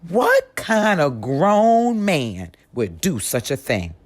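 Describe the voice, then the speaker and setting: A woman reads a history story aloud in an audiobook style. Her delivery is slow and emphatic, with wide, animated swings in pitch. The recording is close and dry. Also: dry recording. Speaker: read-aloud narrator.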